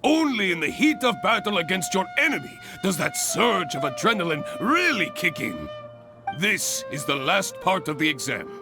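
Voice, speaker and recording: An actor playing a hearty, grandiose adventurers' guild examiner in a video game, speaking in formal, exuberant flourishes. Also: male voice, high-pitched, big pitch swings, moderate pace, music in the background. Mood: rousing, grand. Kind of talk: English video game voice acting.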